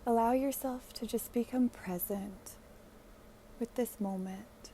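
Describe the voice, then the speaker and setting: A woman leading a guided meditation in a gentle, hushed, soothing way, leaving long pauses. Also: a little high, a little hiss or hum.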